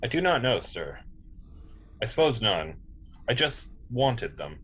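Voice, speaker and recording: Man playing a polite android officer, husky, lilting. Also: mid-range voice, very animated, a little slow, fairly bright tone, heavily acted. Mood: earnest. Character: polite, innocent male android officer, earnest and literal. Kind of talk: amateur script table read.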